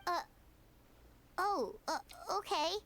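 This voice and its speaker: A woman voicing a tiny, bubbly, outspoken fairy companion with a squeaky, childlike voice in a video game. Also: lively intonation, takes it slowly, a bit bright, full character voice. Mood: chirpy.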